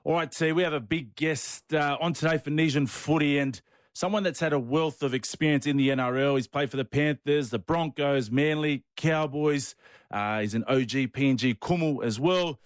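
A man's voice: a little high, moderate pace, no room sound, studio quality. Easygoing male podcast host. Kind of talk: casual podcast chat.

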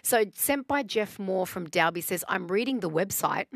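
A woman's voice: mid-range voice, expressive, normal speed, gravelly, dry recording. A woman hosting a casual radio chat, upbeat and reading out listener texts in the studio. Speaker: radio host. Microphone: studio microphone.

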